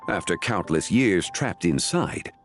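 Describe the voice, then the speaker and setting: Brief: a formal, knowing elder scholar; deep and measured, over faint game music. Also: male voice, animated, vocal fry, heavily acted. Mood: calm. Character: calm ancient scholarly man, composed and knowing. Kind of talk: video game voice acting.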